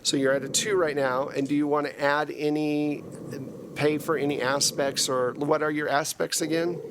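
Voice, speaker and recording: A man's voice: fairly high pitch, steady tone, normal speed. A man running a tabletop role-playing game as game master, casually narrating events and explaining rules in a noisy recording.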